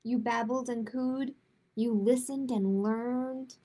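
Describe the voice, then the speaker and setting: A woman hosting a children's storytime, reading picture books aloud and chatting to families in an expressive way. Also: a little high, slow. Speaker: children's librarian, storytime host. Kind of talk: picture book read-aloud and chatty announcements to young families.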